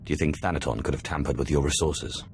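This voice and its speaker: A very deep-voiced Sith lord. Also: male voice, steady tone, fast talker. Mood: suspicious. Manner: curt, imperious. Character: commanding male Sith lord, suspicious and curt. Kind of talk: video game cutscene dialogue. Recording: music behind the voice.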